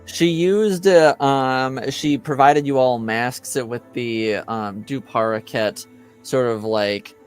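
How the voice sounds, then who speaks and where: A man's voice: medium pitch, steady tone, slow, faint music behind. A man acting as game master, explaining things hesitantly with many fillers. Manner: hesitant, lots of ums and likes. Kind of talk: narrating a tabletop game.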